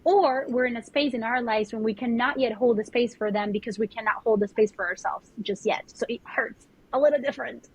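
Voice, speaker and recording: A woman's voice: on the high side, brisk, few pauses, slightly rough. A woman with a Colombian accent, a spiritual mentor talking earnestly about her path in a podcast conversation, often repeating herself as she gathers her words. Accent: Colombian Spanish. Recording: dull lo-fi audio.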